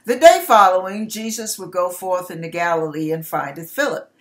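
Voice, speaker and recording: A woman in her sixties reading scripture aloud in a deliberate, emphatic, expressive style, acting out the dialogue. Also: on the low side. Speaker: online ministry host reading scripture aloud. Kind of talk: expressive, dramatic Bible reading in King James English. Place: small curtained room indoors. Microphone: webcam at desk distance.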